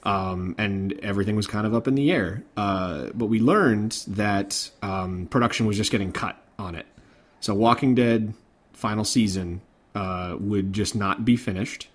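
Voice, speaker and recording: A man's voice: on the low side, a little slow. A man hosting a podcast, recounting news in an informal, conversational way. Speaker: podcast host. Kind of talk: casual podcast chat about game industry news.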